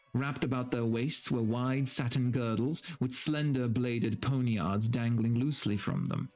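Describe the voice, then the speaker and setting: A man giving a dramatic, deliberate story reading, dropping into casual wry asides. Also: mid-range voice. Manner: theatrical, deliberate, breaks off into casual wry remarks. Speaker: streamer reading aloud.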